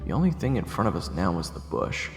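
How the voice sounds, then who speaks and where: A man's voice: sits low, big pitch swings, quick pace. A man reading scripted first-person story narration for a fantasy audio drama. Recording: echoey, long reverb, faint music behind. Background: music.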